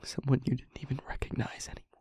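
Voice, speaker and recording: A man playing a guilt-ridden demon lover in an audio roleplay, confessing tenderly and in anguish in a halting, breathy, husky hush. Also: no echo, clean studio sound, natural voice. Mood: anguished, confessional. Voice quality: breathy, husky, often whispering. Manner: halting, hushed, dramatic pauses. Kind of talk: intimate audio roleplay monologue.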